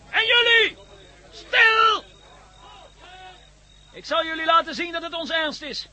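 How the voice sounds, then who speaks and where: An actor playing a cocky, menacing gang leader in a radio drama, barking orders with a sardonic edge. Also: male voice, very high voice, sharp tone, heavily acted. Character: cocky commanding male armed gang leader, menacing, mocking.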